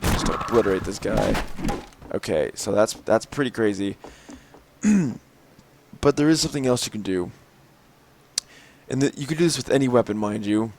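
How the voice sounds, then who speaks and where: Young male gameplay narrator, lowish and a little raspy, casual and rambling, clear game gunfire under him. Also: expressive, moderate pace, long pauses, darkish tone, a little hiss or hum. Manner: rambling, frequent fillers and throat clearing. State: slightly raspy from lack of sleep. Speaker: gameplay video host. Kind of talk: mod showcase commentary. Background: game gunfire and clicks.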